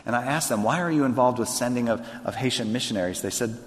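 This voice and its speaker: A man's voice: medium pitch, quick pace. A man who is a pastor, preaching earnestly and conversationally, often restarting his sentences. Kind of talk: sermon and onstage interview. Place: large hall with long reverb. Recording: long hall reverb.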